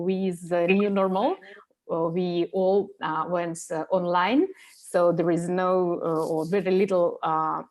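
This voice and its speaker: A woman's voice: fairly low pitch, a little mellow. Hesitant woman co-host. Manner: thoughtful, hesitant, many fillers. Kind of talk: explanatory discussion.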